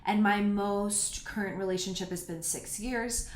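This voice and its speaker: A woman's voice: medium pitch, a little slow. A woman in her thirties hosting a podcast, talking frankly and casually as she answers listener questions. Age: 30s. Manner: frank and candid, speaks bluntly about personal topics. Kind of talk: casual solo podcast talk. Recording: roomy echo.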